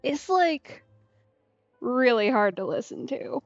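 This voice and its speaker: A woman's voice: high-pitched, lively intonation, slow, no echo, highs cut off, soft background music. A woman doing casual, chatty let's-play commentary as a streamer, musing aloud informally.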